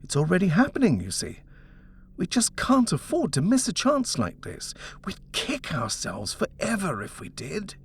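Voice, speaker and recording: A man's voice: high voice, wildly swooping pitch, moderate pace, creaky, pitched above the performer's own voice, exaggerated voice. A man voicing an eager young husband in a story, persuasive and indulgent as he talks up a house. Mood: coaxing, persuasive. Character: eager, coaxing young British husband, smooth-talking house-hunter. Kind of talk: storybook character reading.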